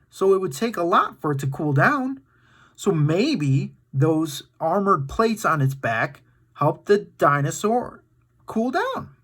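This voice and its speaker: Animated, slow-paced man reading to kids. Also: sits high. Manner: enthusiastic, playful, exclaiming, dramatic. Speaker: children's story time host reading aloud. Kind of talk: animated read-aloud with casual asides to young viewers.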